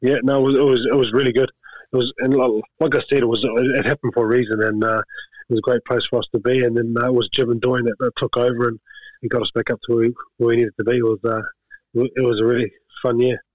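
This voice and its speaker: A middle-aged man, chatting as a guest in a relaxed way, often repeating words as he gathers his thoughts. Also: medium pitch, quick pace, no room sound. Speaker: guest, rugby skills coach. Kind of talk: casual interview chat. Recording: slightly dull lo-fi sound.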